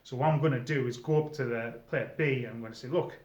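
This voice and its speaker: A man's voice: medium pitch, fast, a little mellow. A middle-aged man giving informal, conversational advice as a presenter explaining things to newcomers. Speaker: presenter, former game storyteller. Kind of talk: informal advice video monologue. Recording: echoey room, slightly dull sound.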